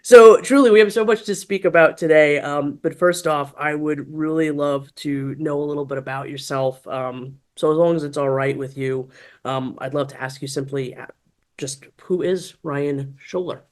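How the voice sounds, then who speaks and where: A woman's voice: sits low, steady tone, moderate pace. Woman hosting a podcast, gushy and encouraging, asks long rambling questions. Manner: effusive, warmly encouraging. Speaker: podcast host. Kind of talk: interview questions.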